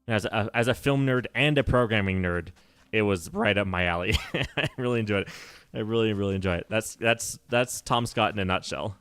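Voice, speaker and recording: Low-voiced nerdy male streamer. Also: a little fast, fairly bright tone, smooth, no echo, faint music behind. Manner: rambling, self-correcting, nerdy, laughs often. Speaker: livestreamer. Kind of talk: casual livestream chat while playing.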